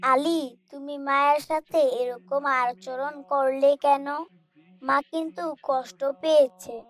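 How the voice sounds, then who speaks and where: A woman's voice: sits high, steady tone, takes it slowly. A woman voicing a polite, pious young boy with an earnest, respectful manner, in a moral cartoon. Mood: reproachful. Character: polite, pious young boy, gently admonishing his brother. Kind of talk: Islamic moral cartoon dialogue.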